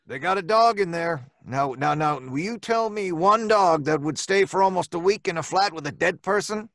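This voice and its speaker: An actor playing a reluctant, fretful working-class building superintendent, hesitant, folksy and defensive, in old-time radio suspense style. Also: male voice, high voice, lively intonation, normal speed, fairly bright tone, no room sound, clean studio sound. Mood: reluctant, protesting.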